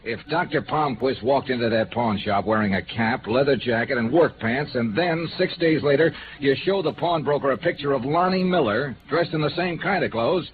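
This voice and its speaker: A man's voice: medium pitch, moderate pace, hardly any pauses, background noise, lightly acted. A man playing a hard-boiled, persistent insurance investigator in 1950s radio noir, speaking in a clipped, wry, insistent way. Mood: pressing, insistent. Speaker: radio drama lead actor and narrator. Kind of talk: 1950s radio noir acting, first-person narration. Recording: tinny old radio broadcast with music.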